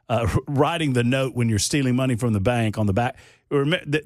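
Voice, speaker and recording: A man's voice: medium pitch, dry recording, studio quality. A man hosting a talk radio show, reading silly news stories and making mocking asides.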